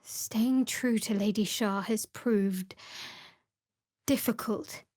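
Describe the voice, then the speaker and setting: An actress playing a guarded, devout young woman cleric of a dark goddess in a fantasy video game, with a measured, wry delivery. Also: medium pitch, dry recording, studio quality. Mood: measured, solemn.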